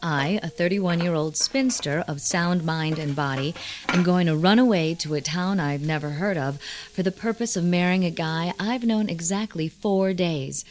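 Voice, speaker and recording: A female actor plays a woman in her thirties about to elope. Her lowish voice is confident and playful, full of dry, teasing banter in a naturalistic radio drama style. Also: normal speed, a little hiss or hum, natural voice. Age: 30s. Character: wry, teasing, confident thirty-one-year-old bride-to-be.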